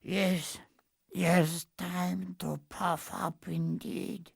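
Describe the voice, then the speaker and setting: A man's voice: sits high, little pitch movement, very slow, vocal fry, slightly rough, pitched above the performer's own voice, full character voice. A man voicing a puny, hairy storybook weakling, with a weak, formal, hesitant voice. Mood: feeble resolve. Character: puny, hairy male weakling, polite and feeble.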